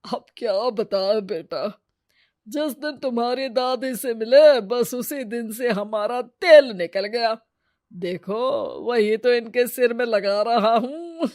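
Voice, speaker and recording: A woman's voice: a little high, slow pace, darkish tone, no echo, studio quality. A woman playing a henpecked, loving old grandfather in a cartoon story, wry and mock-complaining. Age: older. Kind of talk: cartoon moral story acting.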